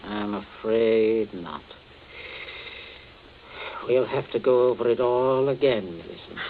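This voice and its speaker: A man's voice: lowish voice, slow pace, gravelly. Stern domineering father, tinny recording. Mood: cold, controlled. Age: middle-aged. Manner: stern, clipped, commanding. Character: domineering, coldly methodical father interrogating his family. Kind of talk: old radio drama acting. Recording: tinny, noisy old radio recording.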